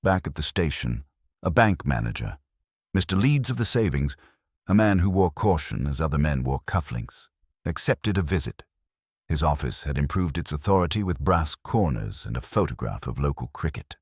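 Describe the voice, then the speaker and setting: A man reading a scripted detective story as narrator, in a dry, measured, literary manner. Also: rumbling low, big pitch swings, slightly rough, no echo.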